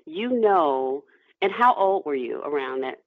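A woman's voice: sits low, animated, measured pace, no echo. Woman podcast host, narrow phone-like sound. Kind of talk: conversational interviewing.

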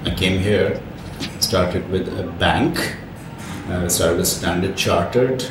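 A man's voice: low voice, slow. A middle-aged man from Pakistan, a guest talking in a chatty, rambling, self-deprecating way. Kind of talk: casual interview talk. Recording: very echoey, distant from the mic with noise.

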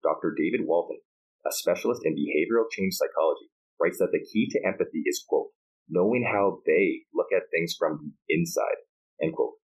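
A man's voice: deep voice, moderate pace, low-quality recording. A man hosting an interview, upbeat and emphatic, then reading a prepared segment. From United States. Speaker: podcast host.

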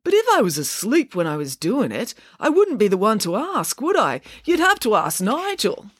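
Woman playing dim sickly schoolboy, storybook character, affable, befuddled, raised animated pitch, dry sound. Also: moderate pace, husky, no echo, exaggerated voice.

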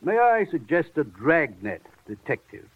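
An actor playing a pompous, haughty, evasive agency boss with affected formal speech in 1940s radio drama style. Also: male voice, a little high, very animated, a little slow, breathy edge, narrow, tinny audio, heavily acted. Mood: haughty, mocking. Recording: band-limited vintage radio recording.

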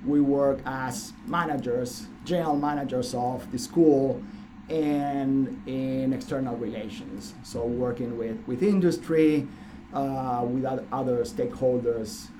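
A man's voice: medium pitch, unhurried, warm tone. A middle-aged man from Argentina, a dean answering interview questions with dry, self-mocking humor and frequent restarts. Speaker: business school dean. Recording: noisy room recording.

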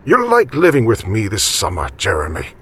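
A man voicing a jovial, teasing elderly grandfather from the country in an audiobook story, with a raspy, folksy delivery. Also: medium pitch, a little slow, heavily acted. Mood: encouraging. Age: older. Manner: animated, folksy, encouraging.

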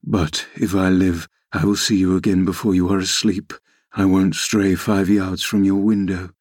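A man's voice: low-pitched, little pitch movement, normal speed, darkish tone, slightly rough, no room sound, studio quality. A man playing an anguished, fierce and brooding male lover, speaking with earnest intensity in a classic novel reading. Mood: urgent pleading.

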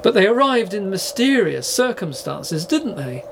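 Man as a high, creaky podcast reporter. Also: big pitch swings, measured pace, fairly bright tone, slight background noise, full character voice. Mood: probing. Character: earnest, polite male university podcast reporter. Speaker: podcast host. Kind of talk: scripted narration and audio drama.

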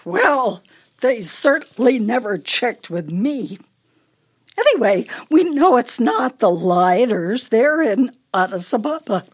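An actress playing a forgetful elderly wife in a radio play, teasing and fretful with a deliberate, wistful manner. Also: female voice, fairly high pitch, very animated, husky, full character voice. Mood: chiding, muddled.

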